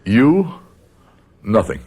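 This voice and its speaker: Brooding, deep-voiced middle-aged father. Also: wildly swooping pitch, very slow, a little mellow, full character voice. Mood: brooding, resolved. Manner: grave, brooding, dramatic. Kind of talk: radio drama acting with first-person narration.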